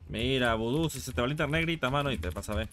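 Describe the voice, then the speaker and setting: A man's voice: mid-range voice, lively intonation, rapid-fire, a bit bright. A man chatting casually and teasing his friends in slang while gaming. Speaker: online gamer.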